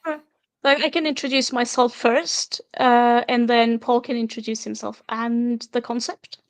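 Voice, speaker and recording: A woman's voice: a little high, little pitch movement, a little slow, clean tone. A woman in her forties from Norway, a podcast guest talking plainly and hesitantly with fillers. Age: 40s. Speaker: guest, teacher and scenario writer. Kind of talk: casual podcast chat.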